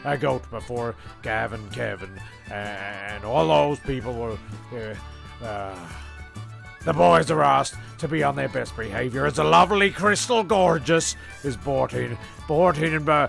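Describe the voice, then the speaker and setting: Gravelly halting man narrating. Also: medium pitch, a bit bright, faint music behind. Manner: halting, rambling. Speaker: host and narrator. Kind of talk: improvised comedy narration.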